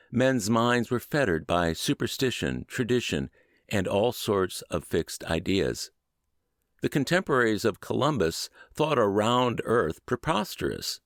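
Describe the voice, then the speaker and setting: A man reading a book aloud as a scripted audiobook narration, with measured, emphatic and deliberate phrasing. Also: on the low side, no echo, clean studio sound. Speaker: audiobook narrator and podcast host.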